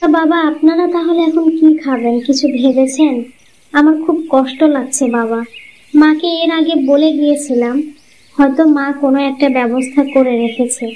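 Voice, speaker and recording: Cartoon actress playing a crafty married woman; very high voice, insistent, wheedling, muffled recording. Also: normal speed, close to an everyday voice. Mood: pleading, falsely meek. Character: bossy, scheming married woman in a family folktale. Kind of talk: cartoon fairy-tale voice acting. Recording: muffled lo-fi audio, clear background noise.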